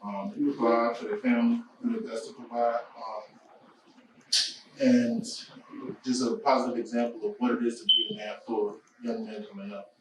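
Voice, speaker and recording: A man's voice: mid-range voice, expressive, moderate pace, noisy background. A man on a panel, answering in a thoughtful, somewhat hesitant way. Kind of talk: panel discussion. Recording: very echoey room, mic at a distance.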